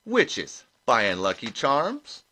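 Storybook casting: narrator playing an unimpressed old witch, female, plain-spoken, lively and forceful. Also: medium pitch, drawn-out words, voice pushed up, full character voice. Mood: blunt. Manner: plain-spoken, colloquial, bluntly contradicting.

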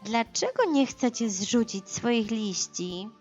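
A woman's voice: fairly high pitch, slow pace, a little mellow, dull audio, faint music behind, pitched above the performer's own voice. A woman voicing a curious, cheerful young male teddy bear in a children's story, full of eager questions and exclamations. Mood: excited.

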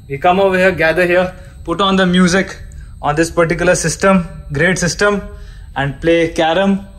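A man's voice: fairly high pitch, a bit bright, slight background noise. A man, chatting casually and eagerly as he films a tour of a cargo ship at arm's length. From India. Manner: chatty, enthusiastic, restarts and fillers. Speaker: seafarer vlogger giving a ship tour. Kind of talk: casual vlog tour talk. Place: aboard a cargo ship, outdoor decks and indoor day room. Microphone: handheld camera at arm's length.

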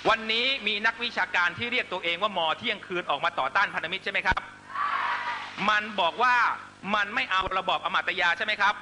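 A man's voice: high voice, fairly quick, music in the background. A man delivering a fiery political speech to supporters, accusatory and emphatic, constantly pressing listeners with rhetorical questions. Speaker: political rally speaker.

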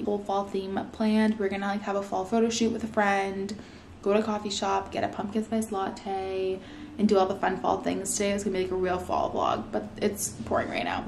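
A woman's voice: mid-range voice, vocal fry, darkish tone, a little hiss or hum. A young woman in her twenties from Canada, chatting casually to camera at home in an upbeat way, filmed on a nearby camera. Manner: chatty, upbeat, lots of "like" and "you guys". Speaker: vlogger. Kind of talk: casual vlog chat to camera. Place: living room at home, indoors.

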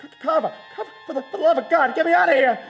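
Shrill, terrified victim begging for rescue. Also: male voice, rapid-fire, lo-fi sound, soft background music. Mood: panicked pleading. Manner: panicked stammering, pleading. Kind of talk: live radio drama acting.

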